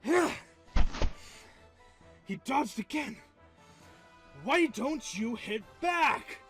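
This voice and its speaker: A man's voice: very high voice, lively intonation, very slow, over music, exaggerated voice. A man playing a hot-headed young male fighter who talks brashly and impatiently, in a fan radio drama. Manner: impatient, brash, shouts battle cries.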